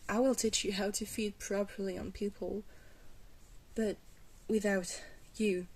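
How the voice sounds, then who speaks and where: A woman playing a glamorous vampire mentor in an ASMR roleplay, patient, reassuring and gently wry, with a soft, hesitant delivery. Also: mid-range voice, fairly even intonation, unhurried, husky. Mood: reassuring, instructive.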